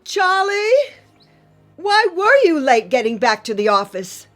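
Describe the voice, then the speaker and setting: An older, self-pitying mother in a radio drama, fretting and nagging over her grown son. Her high voice swings widely and tearfully, at a slow pace, with music behind it. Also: sing-song, fairly bright tone, exaggerated voice. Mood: needling.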